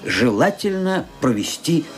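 A calm family doctor. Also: male voice, on the high side. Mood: reassuring. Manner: measured, reassuring. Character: calm middle-aged male family doctor. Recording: radio play with music behind.

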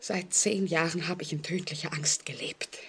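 An actress playing a frightened woman in an old radio play, speaking anxiously and haltingly with dramatic intensity. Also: low voice, fairly even intonation, a bit bright, slight background noise. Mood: terrified, urgent. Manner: anxious, dramatic, halting, sometimes dropping to a whisper. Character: frightened single woman telling police of a stalking convict. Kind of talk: 1940s German radio play acting.

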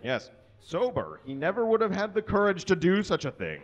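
A man in his thirties gives a live reading of a story from a small stage. His voice sits a little high and swings widely in pitch. He speaks into a microphone as a performer reading from a page. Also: wildly swooping pitch, moderate pace, highs cut off. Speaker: reader at a live comedy show. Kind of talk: dramatic reading of fan fiction to an audience. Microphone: handheld or stand microphone.

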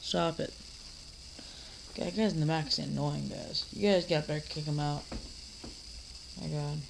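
A man's voice: a little high, steady tone, moderate pace, gravelly, background noise. A teenage boy coming down with a cold, rambling casually through a home vlog with lots of fillers and restarts. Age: teens. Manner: mock-scolds an imaginary heckler. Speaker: vlogger. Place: dim living room, seated in a recliner.

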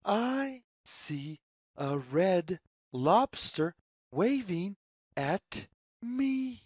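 A woman reading a children's picture book aloud in a sing-song, deliberate, word-by-word way. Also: lowish voice, big pitch swings, darkish tone, husky, no echo, clean studio sound. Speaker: story reader.

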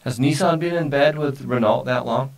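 A man's voice: mid-range voice, normal speed. A middle-aged man co-hosting a live stream in casual conversation at a desk microphone. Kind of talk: casual chat.

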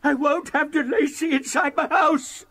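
An actor playing an ailing, frightened old uncle in a radio drama, speaking haltingly and in anguish with a wandering mind. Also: male voice, extremely high pitch, fairly bright tone. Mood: despairing, pleading. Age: older.

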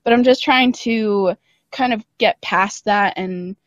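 A woman's voice: medium pitch, takes it slowly, dry recording. A young woman chatting casually and at length as a podcast guest, talking in a self-deprecating way. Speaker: podcast guest, audio drama creator. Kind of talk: casual interview chat. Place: dry room, calling in remotely. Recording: slightly dull, band-limited call audio.

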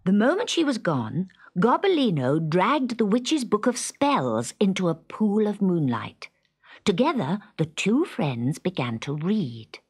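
A British woman narrating a children's fantasy story in a deliberate, dramatic manner. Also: mid-range voice, sing-song, a little mellow, breathy edge.

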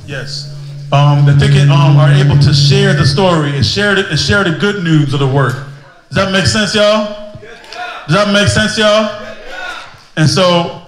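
A man's voice: sits high, a little slow, long pauses. A middle-aged man emceeing an outdoor rally with a handheld mic, using call-and-response to engage the crowd. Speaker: event emcee. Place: outdoor stage in front of a mural. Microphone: handheld microphone. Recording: echoey outdoor PA sound.

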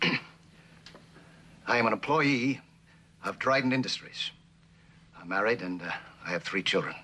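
An American man in middle age playing an earnest, conscience-stricken company man in a 1960s TV drama, speaking haltingly and soberly. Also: fairly low pitch, expressive, sharp tone, a little hiss or hum. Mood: halting, grave. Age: middle-aged. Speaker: actor playing a witness. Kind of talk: 1960s television drama acting.

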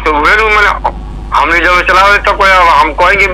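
Bright, tense Bihari man on phone. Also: sits high, normal speed. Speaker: village water scheme caretaker, caller. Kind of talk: informal phone conversation. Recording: noisy, humming recorded phone call.

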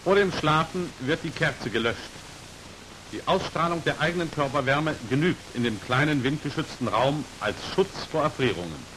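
Guy doing old wartime training film narration, slightly high voice, formal and exact, lots of hiss. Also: normal speed. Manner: formal, precise, matter-of-fact. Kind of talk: 1940s instructional film narration, scripted. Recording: old 1940s film soundtrack, hissy, crackly noise. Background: steady hiss.

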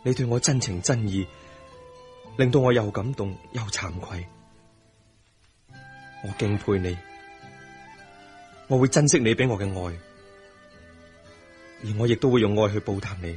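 An actor with a Hong Kong Cantonese accent playing a tender, remorseful young husband in a radio drama, earnest and emphatic. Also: male voice, fairly low pitch, measured pace, pauses often, darkish tone, close to an everyday voice. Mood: earnest, ardent. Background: music.

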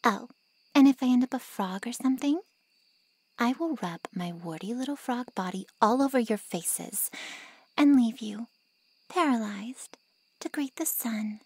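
A defiant, sassy woman, acted by a woman for an ASMR roleplay, taunts with slow sarcasm in a husky voice. The sound is close and dry, and she draws her words out. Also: a little high, lively intonation, a little mellow, dry recording, full character voice. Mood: sarcastic, taunting. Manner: sarcastic, taunting, drawn-out delivery. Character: sassy, defiant, foul-mouthed woman, grudging ally of vampires.

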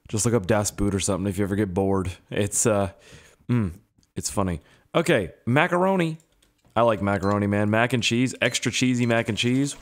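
A young man talks through his gameplay in a slightly low, hoarse voice that sounds worn out. He rambles loosely, swears freely and pokes fun at himself, as in a casual let's-play recorded on a desk mic. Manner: rambling, profane, self-mocking. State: hoarse, strained voice. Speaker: gaming YouTuber.

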